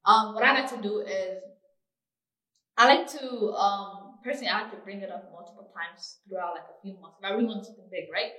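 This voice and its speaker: Young woman, Somali first language, casual slangy talk, bright tone, echoey room. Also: mid-range voice, fairly quick, distant mic. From Somali family. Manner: animated, slangy, lots of "like". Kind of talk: casual chat. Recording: echoey room, voice a bit off-mic.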